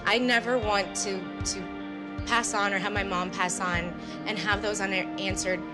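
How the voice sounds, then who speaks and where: Young woman, mid pitch, casual, emphatic, loud music behind. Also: steady tone, a little slow. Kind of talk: casual reality TV chat. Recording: loud background music over the voice.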